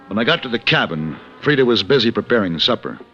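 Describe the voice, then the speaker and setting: Refined, calm male gunfighter, low voice, measured delivery, vintage radio western with music, muffled sound. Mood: measured narrating. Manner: measured, composed. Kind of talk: 1950s radio western acting with first-person narration.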